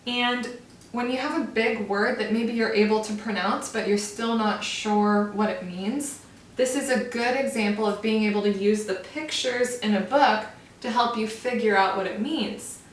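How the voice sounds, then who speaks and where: A woman's voice: fairly high pitch, moderate pace, a little hiss or hum. A woman teacher reading a picture book aloud to young children, stopping to explain words. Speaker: first grade teacher. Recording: echoey room, mic far off.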